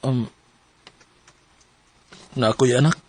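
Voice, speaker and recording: A man's voice: mid-range voice, fairly even intonation, slow, heavy background noise, close to an everyday voice. An actor playing a hesitant young boyfriend who stammers as he confesses to his partner in a radio drama. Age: young adult.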